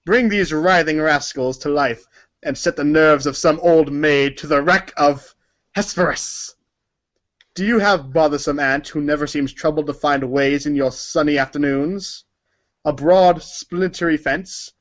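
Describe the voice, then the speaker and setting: A man's voice: a little high, unhurried, fairly bright tone. Man putting on a pompous old-timey prankster colonel. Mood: jovial, pompous. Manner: florid, exclamatory, archaic wording. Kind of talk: theatrical reading of a humor book. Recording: dull lo-fi audio.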